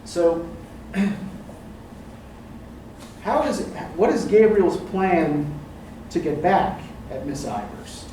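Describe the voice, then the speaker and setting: A man's voice: high voice, expressive, very slow, long pauses, mellow. A male literature professor leading a class discussion, talking thoughtfully and checking in with "right?" as he goes. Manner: thoughtful, often adds "right?" and "um". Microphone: distant room recording. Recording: echoey classroom, distant mic, background noise.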